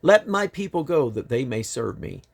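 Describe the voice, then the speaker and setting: A man reading the Bible aloud in deliberate, emphatic phrases, with an occasional stumble. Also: a little high, sing-song, creaky. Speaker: Bible reader.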